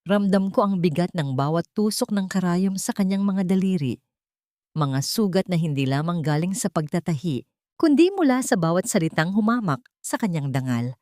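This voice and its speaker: Lady doing audiobook drama narration, low voice, sentimental n earnest, really dry sound. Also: animated, moderate pace, dark tone, no echo. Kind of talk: scripted dramatic story narration.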